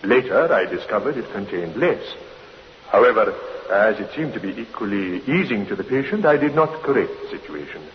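An actor playing a formal, defensive doctor giving testimony in a radio courtroom drama, careful and precise. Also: male voice, on the low side, big pitch swings, brisk. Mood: carefully defensive. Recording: reverberant, muffled, hissy old radio recording.

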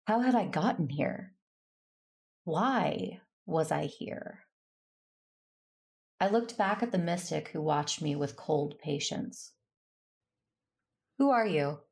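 A woman's voice: sits low, animated, slow, creaky, long pauses, darkish tone, slightly rough, heavily acted. An actress playing a composed, diplomatic warrior-monk who narrates her story in an audio drama. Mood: firm, questioning. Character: composed, diplomatic woman Jedi master, wary. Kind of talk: first-person audio drama narration and acting.

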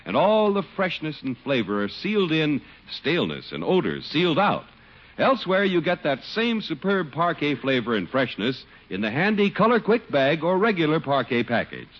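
A man announcing a 1950s radio show and reading its sponsor pitch in the period announcing style. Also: sing-song, normal speed. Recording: muffled old radio broadcast recording.

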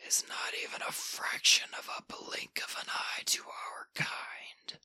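A man voicing an ancient gray Martian creature in a slow, solemn, rasping whisper. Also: pushed and bright. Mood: stern. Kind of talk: audiobook character voice.

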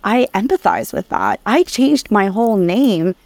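A woman's voice: medium pitch, big pitch swings, measured pace, a little mellow, no echo. A woman from Vietnam speaking earnestly and reflectively as an interview guest about personal experience. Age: adult. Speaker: interview guest, career coach.

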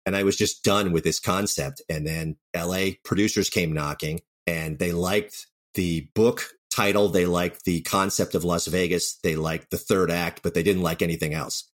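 A man's voice: low-pitched, steady tone, normal speed, few pauses. A man speaking as an interview guest, a writer reflecting candidly and anecdotally on his work.

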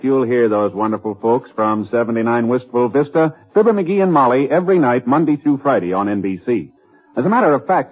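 A man's voice: medium pitch, slightly rough. An American man working as a network announcer, reading scripted promos and credits in 1950s radio style. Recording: muffled 1950s radio recording, faint music.

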